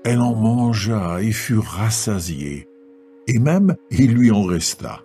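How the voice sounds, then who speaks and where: Male scripture narrator, mellow lowish voice, measured scripted delivery, soft music bed. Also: sing-song, husky.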